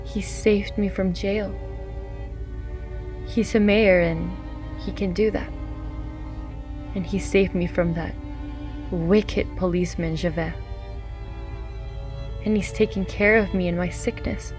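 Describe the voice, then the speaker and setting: A woman's voice: medium pitch, fairly even intonation, takes it slowly, long pauses, over music, natural voice. An actress playing a frail, sick young mother in a radio drama, tender and trusting as she longs for her child. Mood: wistful, hopeful.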